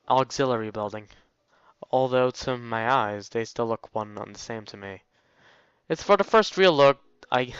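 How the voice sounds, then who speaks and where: A man's voice: mid-range voice, fairly bright tone, clean tone, dry recording, dull audio. A man hosting a let's play, reading a visual novel aloud and breaking off into jokey exclamations.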